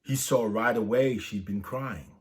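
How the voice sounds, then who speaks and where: Guy reading a kids storybook out loud, bit low voiced, gets animated on the big lines. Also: unhurried. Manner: lively, lifts voice for dialogue. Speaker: storybook reader. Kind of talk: children's read-aloud storytelling.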